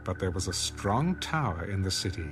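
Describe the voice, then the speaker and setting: Male narrator, low register, solemn measured scripture reading with music underneath. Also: sing-song. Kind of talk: dramatized audio Bible narration. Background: background music.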